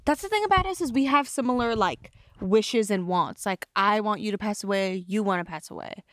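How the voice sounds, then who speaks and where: A woman's voice: mid-range voice, a bit bright. A young woman in her twenties hosting a podcast, chatting casually with frequent laughter and playful teasing. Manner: giggly, teasing, quick-witted. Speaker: podcast host. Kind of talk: casual comedic chat. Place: small studio room and outdoors in woods. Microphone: desk mic on boom arm, handheld mic outdoors.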